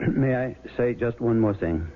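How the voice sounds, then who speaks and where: A man's voice: mid-range voice, measured pace, close to an everyday voice. A man playing a plain-spoken, earnest police sheriff testifying in a 1970s radio drama. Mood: earnest, measured. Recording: tinny, hissy old radio broadcast.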